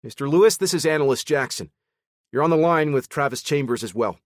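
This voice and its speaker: A man's voice: on the high side, brisk, no echo, studio quality, pitched above the performer's own voice, close to an everyday voice. A man playing an eager, oblivious analyst. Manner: eager, earnest.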